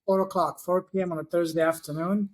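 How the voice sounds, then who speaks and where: A man's voice: sits high, steady tone, fast. Guy from france, non-native english, earnest storyteller, lots of uhs, call audio. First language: not English. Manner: earnest storytelling with many "uh" fillers. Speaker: guest. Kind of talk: online interview. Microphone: video call.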